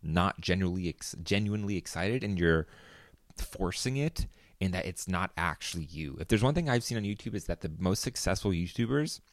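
Young guy doing a solo podcast, low voice, rambly and relaxed, dry close sound. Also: brisk, dry recording. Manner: casual, rambling, filler-heavy. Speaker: podcast host.

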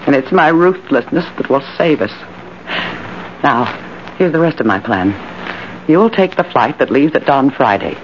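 Cold bossy femme schemer, old radio drama, very expressive pitch, muffled with lots of noise. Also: female voice, normal speed, long pauses. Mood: commanding, cold. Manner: decisive, domineering, crisp orders. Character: ruthless, cold, commanding female schemer. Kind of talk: 1940s radio acting.

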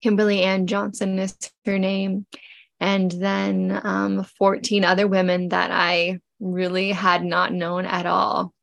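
A woman of forty hosting a podcast, speaking gently and from the heart with many pauses while she gets over a cold. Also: medium pitch, monotone, gravelly, no room sound. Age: 40s. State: congested, getting over a cold. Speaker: podcast host. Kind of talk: personal reflective podcast talk.

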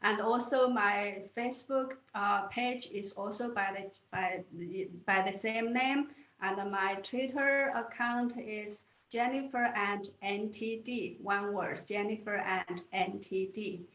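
A woman with a Chinese accent speaks slowly and earnestly, often stopping to restart a phrase. Her voice sits at an ordinary pitch. The recording carries a noticeable room echo. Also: fairly bright tone. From China. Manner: earnest, halting with restarts and repeated words. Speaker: guest. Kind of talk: interview answers.

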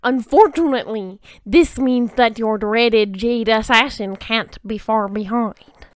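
Woman putting on a loud, pompous frogman villain. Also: a little high, no echo, voice pushed up, lightly acted. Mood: gloating. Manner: menacing, slow, pompous delivery.

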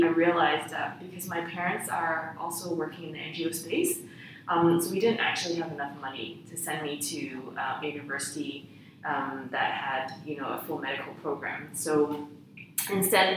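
A young adult woman from Hong Kong and Ghana, a youth charity founder, telling her story candidly and reflectively as an interview guest. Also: low voice. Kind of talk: casual interview. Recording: echoey room, far from mic, faint noise.

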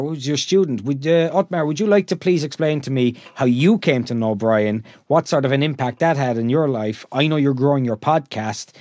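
A man's voice: mid-range voice, darkish tone, no room sound. A man hosting an interview podcast, musing at length in a rambling way with frequent "you know". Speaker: podcast host. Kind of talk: casual interview talk.

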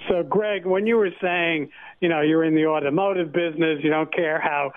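A man's voice: fairly high pitch, fairly even intonation, normal speed, slight background noise. A man calling in to a radio show over a narrow phone line, chatting casually with wry teasing. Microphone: telephone.

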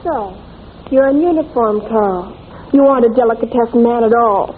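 Young romantic manicurist played by a woman, high voice, wry and melodramatic, old-time radio drama through a tinny band. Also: moderate pace, slight background noise, natural voice. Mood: reproachful. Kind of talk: 1940s radio play acting.

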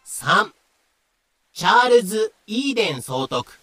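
A man's voice: high voice, sing-song, takes it slowly, fairly bright tone, no room sound, soft background music. A man reading scripted narration for a voice drama.

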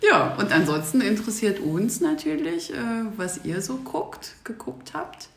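A woman co-hosting a podcast in casual conversation, chatty and quick to laugh. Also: medium pitch, sing-song, vocal fry. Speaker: podcast co-host. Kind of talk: casual chat. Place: indoor room at a community workshop. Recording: roomy, echoing sound.

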